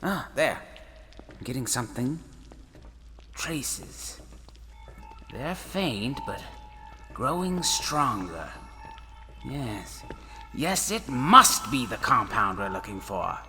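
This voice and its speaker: An actor playing an eccentric, curious professor and space traveller in a sci-fi audio drama, speaking in a measured, musing, theatrical way. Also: male voice, medium pitch, very animated, pushed and bright, soft background music, exaggerated voice. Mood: absorbed, musing. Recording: long reverb, faint background noise.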